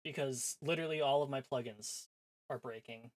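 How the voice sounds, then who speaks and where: A man's voice: mid-range voice, moderate pace. A man chatting casually as a livestream host, sarcastic and self-deprecating, with some swearing. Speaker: live streamer hosting visual novel previews. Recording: voice over background music.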